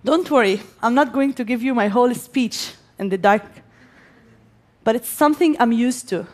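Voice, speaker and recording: A young woman from Gaza giving a prepared speech to an audience, speaking as an English speaker with pauses between phrases. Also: on the high side. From Gaza, Palestine. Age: young adult.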